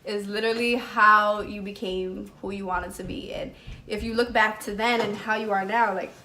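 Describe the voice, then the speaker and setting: Bright, eager young woman interviewer. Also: medium pitch, steady tone, normal speed, slight background noise, low-quality recording. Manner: eager, chatty, reacts with exclamations. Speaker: interviewer, show host. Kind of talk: casual interview chat.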